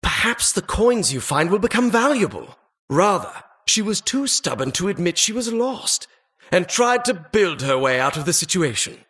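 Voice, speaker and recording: A man's voice: high voice, sing-song, normal speed, a bit bright, slightly rough, full character voice. A man voicing a talking dungeon as a pompous, mock-polite, sardonic butler-like host in a video game. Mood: gleefully sardonic. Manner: pompous, mock-polite, arch formal phrasing. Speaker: game announcer. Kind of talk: theatrical video game voice-over.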